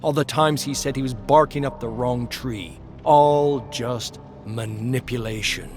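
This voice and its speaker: A man's voice: mid-range voice, measured pace, darkish tone. A man narrating a scripted game story in a dramatic storytelling style. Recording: music under the voice.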